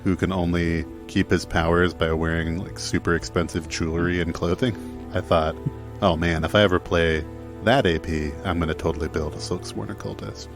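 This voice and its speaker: A man with a very low-pitched voice chats casually as a podcast panelist. His talk is informal and conversational, with music audible underneath. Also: fairly even intonation, normal speed. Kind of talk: casual chat. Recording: music playing behind the voice.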